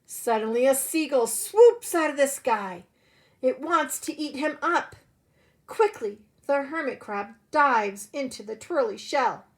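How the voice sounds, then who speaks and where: A woman preschool teacher telling a story to young children in an expressive way, with simple phrasing and direct questions. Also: high-pitched, unhurried.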